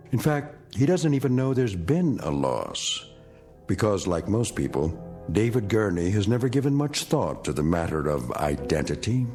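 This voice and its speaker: An actor as the narrator of an eerie mystery tale, delivering scripted lines in an ominous, portentous, deliberate manner. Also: male voice, low voice, a little mellow.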